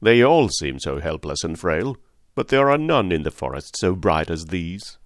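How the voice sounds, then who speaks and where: A man voicing a calm, sensible lion in a storybook reading. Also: sits low, moderate pace, no room sound, clean studio sound, voice pushed down.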